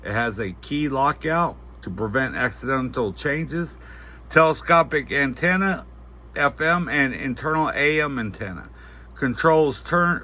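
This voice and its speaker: A man giving a casual, unscripted product demonstration, talking in a halting, folksy way as he thinks aloud. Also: on the high side, pushed and bright. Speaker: host of a gear review channel. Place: indoors at home at night. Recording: faint background noise.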